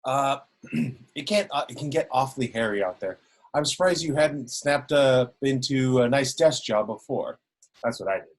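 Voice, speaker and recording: A man's voice: medium pitch, normal speed, natural voice. A man reading a jovial, dodgy sergeant. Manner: hesitant, lots of ums and restarts. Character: jovial, evasive male police sergeant.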